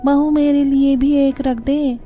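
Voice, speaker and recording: A woman's voice: high voice, level tone, takes it slowly, warm tone, soft background music. A woman playing a curious, approving village woman shopper in a cartoon story. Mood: pleased, approving.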